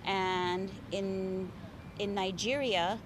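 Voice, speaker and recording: A woman's voice: medium pitch, fairly even intonation, extremely slow. Woman from india, american accent, interpreter answering an interview outside, cars going by the whole time. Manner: fluent, explains in numbered points. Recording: street traffic noise. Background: passing vehicles.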